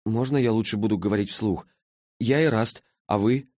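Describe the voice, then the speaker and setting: A man's voice: fairly low pitch, normal speed, a little mellow, dry recording, clean studio sound, voice pushed up, natural voice. A man voicing a polite, bewildered schoolboy time traveller in an audiobook, hesitant and earnest. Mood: earnest, anxious.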